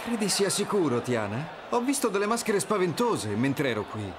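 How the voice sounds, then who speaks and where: An enthusiastic young prince, higher than most men and very lively in pitch. He is a devoted cartoon hero, with a noisy crowd behind him. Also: moderate pace. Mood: worried. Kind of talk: animated game dub. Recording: crowd and street ambience behind.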